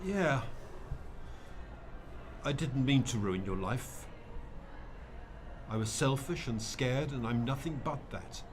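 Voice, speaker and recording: A man voices a privileged man burdened with guilt in a radio play. He speaks earnestly and emphatically, pleading his case with very lively pitch. There is faint noise and ambience behind him. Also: slow pace, pauses often. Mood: remorseful, pleading. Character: guilt-ridden wealthy dead man, earnest and impassioned. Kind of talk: radio drama acting.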